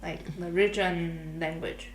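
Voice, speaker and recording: A woman's voice: lowish voice, steady tone, slow. A young woman from Taiwan, a native Mandarin speaker with a Taiwanese accent, chatting casually and searching for words in English. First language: Mandarin Chinese. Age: young adult. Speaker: podcast guest, international student. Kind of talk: casual podcast chat. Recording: echoey room.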